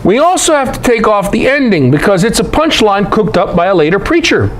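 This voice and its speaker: A man teaches scripture like a lively lecturer, in a high voice that swings widely in pitch. He is emphatic and conversational, posing rhetorical questions and dropping folksy asides. Noticeable noise sits behind him. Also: brisk. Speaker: presenter and Bible teacher. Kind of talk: animated lecture on scripture scholarship.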